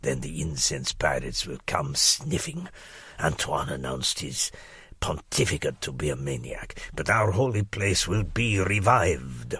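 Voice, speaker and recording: A man voicing an obsessive crackpot scholar in a dramatic audiobook, husky and breathy, with a pompous, conspiratorial delivery that sinks toward whispers. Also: a bass voice, very animated, unhurried, bright, forceful tone, no echo, clean studio sound, full character voice. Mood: urgent conspiratorial. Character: obsessive crackpot male scholar writing a grave warning letter.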